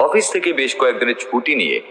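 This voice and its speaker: A man's voice: medium pitch, wildly swooping pitch, rapid-fire, background noise. A man narrating a scripted story in the first person, in a dramatic storytelling style.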